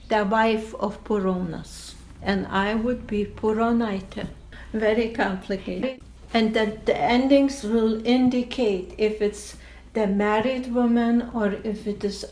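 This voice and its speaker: An older woman from Lithuania, a native Lithuanian speaker, explaining patiently in a slow, deliberate way during an oral history interview. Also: mid-range voice, a little mellow, poor audio.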